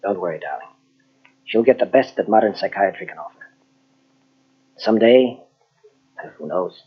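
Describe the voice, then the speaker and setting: An actor playing a grave, earnest psychologist in an old-style radio mystery, husky and deliberate, with dramatic pauses. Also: male voice, lowish voice, animated. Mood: gentle, reflective. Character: earnest, grave male psychologist confronting a killer. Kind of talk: 1940s radio mystery acting. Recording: dull lo-fi old radio tape.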